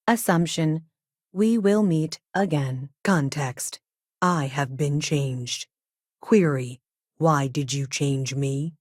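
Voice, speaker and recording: Game role: self-aware probe AI. Low female voice, very dry sound, robotic and literal, clipped labelled statements, earnest and logical. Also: darkish tone, dry recording. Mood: slow, pleading. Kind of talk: scripted video game dialogue.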